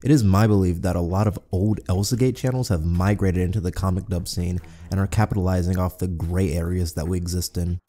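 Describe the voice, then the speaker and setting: A man's voice: sits low, fairly even intonation, fairly quick, few pauses, dark tone. A man reading scripted narration as the narrator of a video essay. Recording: background music under voice. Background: music.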